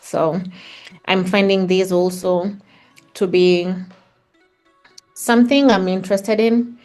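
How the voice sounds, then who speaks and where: A woman's voice: medium pitch, fairly even intonation, slow pace, a little mellow, faint music behind. A middle-aged woman with a Kenyan accent, reflecting on her life as an interview guest. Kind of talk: reflective personal storytelling in conversation.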